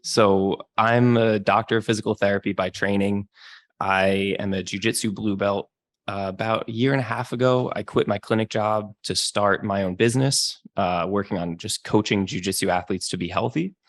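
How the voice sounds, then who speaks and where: A young man with a very deep voice talks in a relaxed, easygoing way and laughs easily. The recording is dry and close, with no room sound around him. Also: normal speed, no echo. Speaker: podcast guest. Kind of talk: casual chat.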